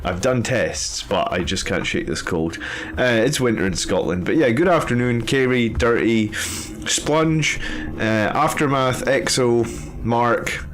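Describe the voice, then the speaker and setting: A man's voice: mid-range voice, lively intonation, slow, a bit bright. A man hosting a live stream, congested from a head cold, chatting casually and greeting viewers with plenty of ums and uhs. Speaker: host of a live army-list stream.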